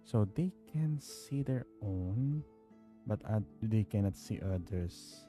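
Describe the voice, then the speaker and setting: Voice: mid-range voice, expressive, slow pace, warm tone. A game streamer giving casual playthrough commentary, musing aloud. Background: faint game music.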